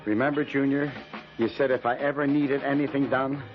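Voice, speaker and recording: A man's voice: mid-range voice, fairly even intonation, brisk, close to an everyday voice. An actor playing a desperate, guilt-ridden working man in an old-time radio drama, weary and agitated. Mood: weary, guarded. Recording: dull lo-fi radio recording with music.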